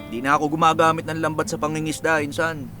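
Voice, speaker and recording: Man acting as young fisherman, slightly high voice, friendly, casual, reassuring, radio drama, music behind. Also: level tone, fast, natural voice.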